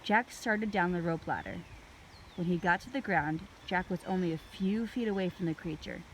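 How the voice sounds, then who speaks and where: A woman reading a children's book aloud in an expressive, deliberate storytelling manner. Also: fairly low pitch, a little hiss or hum, rough recording.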